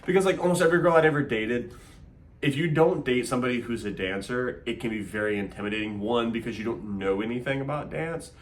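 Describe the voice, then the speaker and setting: A man's voice: mid-range voice, fast talker. A man in his thirties chatting casually with his partner, rambling with many fillers and restarts. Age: 30s. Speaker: co-host of couple Q&A video. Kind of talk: casual chat.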